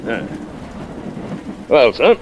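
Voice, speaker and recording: A man's voice: medium pitch, sing-song, extremely slow. An actor with an American Southern accent playing a pompous, scheming colonel and carnival showman in a 1940s radio drama, with flowery, blustering speech. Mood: wheedling, bargaining. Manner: flowery, blustering, hesitant when bargaining. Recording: dull vintage radio recording, faint noise.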